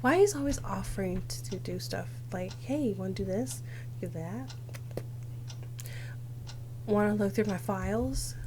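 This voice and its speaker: A woman's voice: mid-range voice, lively intonation, a little slow, pauses often, background noise. A young woman streamer reading visual novel text aloud dramatically, with casual asides. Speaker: game streamer.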